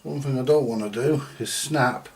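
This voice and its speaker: A man giving a casual, halting, mildly sweary thinking-aloud commentary as he does hands-on repair work, often muttering to himself. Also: medium pitch, darkish tone. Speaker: repairer presenting a hands-on video. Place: indoor repair workbench.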